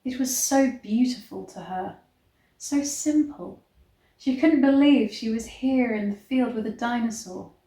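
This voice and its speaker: Slow-reading woman in an echoey room. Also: a little high, dark tone. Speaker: host reading a story aloud. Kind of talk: dramatic storybook reading with disgusted asides. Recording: echoey, roomy sound.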